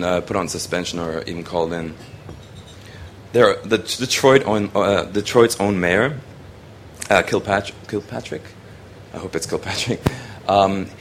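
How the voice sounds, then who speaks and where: A man's voice: sits low, a little hiss or hum. A young man from Hong Kong giving a rambling, casual presentation of his photography, with many ums and restarts.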